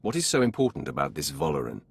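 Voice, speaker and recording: A stern dark lord from a video game with a very low, husky voice. He speaks, cold and terse, used to command. His intonation swings expressively as he gives orders. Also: very animated, quick pace, full character voice. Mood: stern, imperious. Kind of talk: video game character acting.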